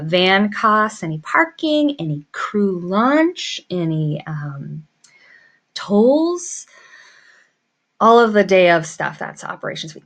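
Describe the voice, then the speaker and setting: A woman giving an informal tutorial, thinking aloud and muttering to herself as she works through it. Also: medium pitch. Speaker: small business owner teaching pricing.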